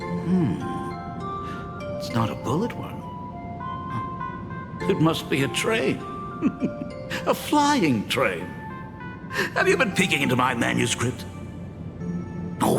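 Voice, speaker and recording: A fond, playful father from a video game, with a lively, swinging pitch and some gravel. He talks like an indulgent storyteller, in long reverb with music behind. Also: slow, pauses often, exaggerated voice. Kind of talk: dramatic video game voice acting.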